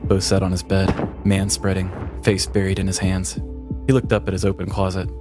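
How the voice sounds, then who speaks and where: Low-voiced man narrating dramatically. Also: monotone, a little fast, music in the background. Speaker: narrator and podcast host. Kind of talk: scripted comedic story narration.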